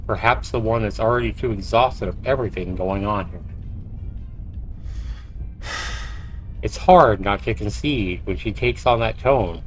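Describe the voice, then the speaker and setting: A man's voice: fairly low pitch, low-quality recording. A man livestreaming a visual novel, reading the narration aloud like a storyteller and dropping in short asides. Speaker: livestreamer, game player. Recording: faint music behind the voice. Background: faint game music.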